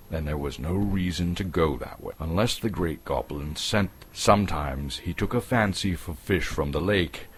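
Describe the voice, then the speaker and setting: Deep, animated man narrating a story. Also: slight background noise. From Canada. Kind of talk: dramatic scripted narration.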